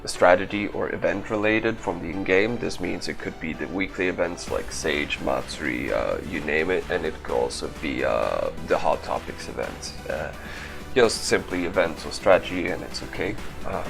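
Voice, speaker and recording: A man with a very low voice commentates on gameplay in an offhand, casual way. His speech is full of gravelly fry, and music plays clearly behind him. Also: normal speed. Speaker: gaming video creator and event organiser.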